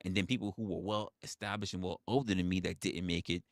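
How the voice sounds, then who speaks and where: A man in his forties holds forth on a casual livestream in a low, heavy voice. He leans hard on his points, repeats phrases and swears freely. The sound is dry and close, with no room around it. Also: steady tone, fast talker, no room sound. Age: 40s. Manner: emphatic, repeats phrases, profane. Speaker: livestream host.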